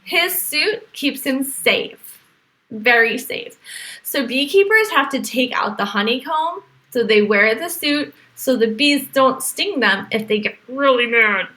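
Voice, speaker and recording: A woman reading aloud as a teacher to young children, with animated exclamations, questions and explanatory asides. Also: high-pitched, measured pace. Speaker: teacher reading to a virtual class.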